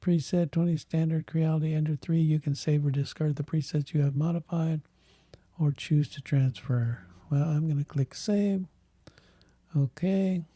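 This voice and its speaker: A man hosting a tech channel, thinking aloud in halting bits while he clicks through software, with a voice that is giving out. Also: a little high, fairly even intonation, dry recording. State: losing his voice. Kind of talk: casual screen walkthrough.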